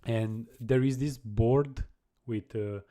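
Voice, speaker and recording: A young man chatting casually on a live coding stream, halting and restarting his sentences as he answers viewers. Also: medium pitch, steady tone, mellow. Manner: halting, many restarts and repeated words.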